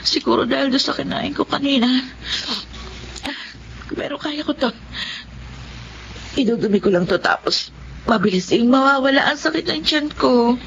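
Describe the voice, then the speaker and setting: High-voiced, plaintive Filipino mother. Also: lively intonation, moderate pace, creaky, long pauses, fairly bright tone, highs cut off, slight background noise, exaggerated voice. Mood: pained, worried. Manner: pleading, emotional, plaintive. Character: poor, anxious, devoted mother of two children. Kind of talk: melodramatic Filipino audio drama acting.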